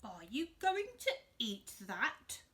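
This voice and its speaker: A sly crocodile with refined tastes from a picture book, put on by a woman. The delivery is slow, theatrical and fussy, lingering on the words. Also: fairly high pitch, sing-song, bright, forceful tone, heavily acted. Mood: curious. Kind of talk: children's storybook character reading.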